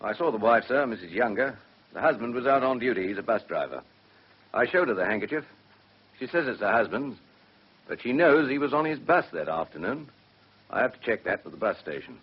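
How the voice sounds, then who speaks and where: A plain-spoken police sergeant in a radio play. He reports dutifully in a husky voice, on a slightly dulled recording. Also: mid-range voice, very animated, fairly quick, muffled recording. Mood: dutiful, factual. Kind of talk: British radio drama acting.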